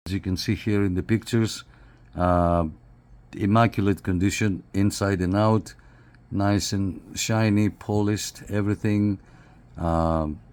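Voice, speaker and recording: A man giving a casual, unscripted hands-on review, speaking haltingly and often repeating his phrases. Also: deep voice, a little mellow. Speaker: radio reviewer and CB operator.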